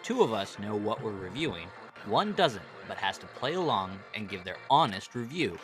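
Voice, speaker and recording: A man co-hosting a casual comedy podcast with his brothers, playful and quick to laugh. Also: fairly low pitch, very animated, over music. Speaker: podcast co-host.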